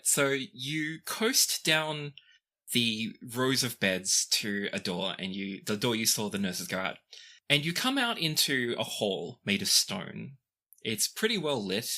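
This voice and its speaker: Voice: mid-range voice, sharp tone. A game master narrating a tabletop fantasy game in a conversational, descriptive way, with casual asides.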